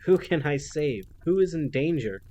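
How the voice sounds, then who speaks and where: A determined young elf officer pushes back urgently and defiantly, as a male narrator voices her. Her voice stays near his own ordinary pitch. Faint hiss lies under the recording. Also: expressive, moderate pace, mellow, close to an everyday voice.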